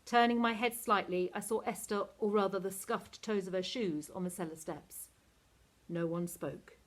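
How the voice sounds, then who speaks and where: A woman narrating a children's novel aloud in an expressive, animated teacher's reading style. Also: mid-range voice, normal speed.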